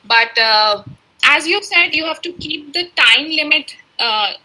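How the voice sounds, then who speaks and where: High, bright-voiced woman moderator. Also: animated, a little slow, slight background noise, low-quality recording. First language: Urdu. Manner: courteous, deferential, addresses the speaker as sir. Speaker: webinar moderator. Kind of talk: polite formal moderation.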